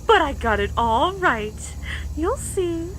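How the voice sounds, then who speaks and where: A man voicing a calm, sinister female pony schoolteacher in a storybook reading, speaking softly and slowly with quiet menace. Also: very high-pitched, slight background noise, pitched above the performer's own voice, heavily acted. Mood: calm, menacing. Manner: soft, slow and menacing. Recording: distant mic with music behind.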